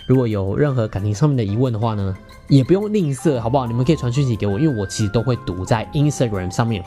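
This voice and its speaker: A man's voice: medium pitch, animated, quick pace, dark tone, faint music behind. A man with a Taiwanese Mandarin accent hosting a podcast, speaking colloquially and earnestly to listeners as he shares personal stories and relationship advice. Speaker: podcast host.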